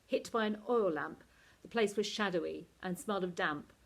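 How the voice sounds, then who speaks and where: A woman reads a story aloud like a teacher to a class. Her narration is expressive and animated, with drama in the telling and a middling pitch. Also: moderate pace, vocal fry. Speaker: teacher reading a novel aloud.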